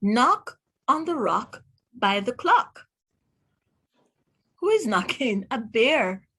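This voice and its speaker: A woman reading aloud to young children as a teacher, pronouncing words deliberately one by one and putting questions to the listener. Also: on the high side, very animated, a bit bright, breathy edge. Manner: deliberate word-by-word enunciation, asks the listener questions. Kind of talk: read-aloud phonics lesson for children.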